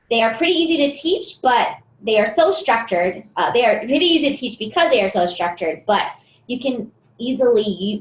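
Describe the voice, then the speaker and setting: A woman presenting a teaching webinar, explaining activities steadily and often pausing on fillers. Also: fairly high pitch, echoey room, low-quality recording.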